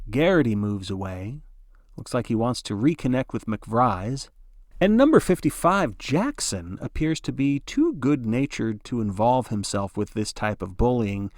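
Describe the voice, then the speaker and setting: A man's voice: mid-range voice, no echo, clean studio sound. A man narrating like a sports broadcaster, giving dramatic play-by-play in a theatrical, folksy announcer style.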